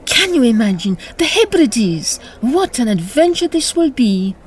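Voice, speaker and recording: A woman's voice: fairly high pitch, very animated, unhurried. A woman playing a vivacious, grand Victorian opera singer in a comic audio drama, exuberant and theatrical. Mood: excited, playful. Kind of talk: period comedy audio drama acting. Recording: busy crowd chatter behind. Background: crowd.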